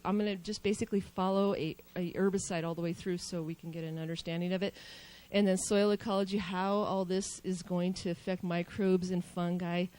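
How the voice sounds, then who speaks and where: A woman who farms organically and studies for a doctorate, giving a conference talk over slides, quick and informal with many ums and restarts. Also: medium pitch, darkish tone. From New Mexico. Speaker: panel speaker, organic farmer and doctoral student. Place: conference hall. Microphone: handheld mic.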